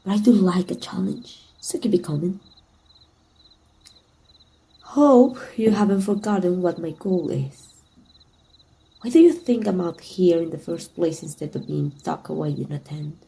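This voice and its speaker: A woman from Mexico voicing a teasing, tender female villain in an intimate ASMR roleplay, soft and breathy with some whispering. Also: on the low side, measured pace, pauses often. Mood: flirtatious, teasing.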